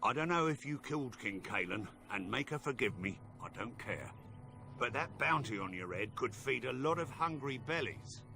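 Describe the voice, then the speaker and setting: A rough, desperate rustic out for a bounty. The voice is gravelly and swings widely in pitch. There is clear noise and ambience behind it. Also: male voice, lively intonation, moderate pace. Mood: desperate, threatening. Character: desperate, rough peasant turned bounty hunter.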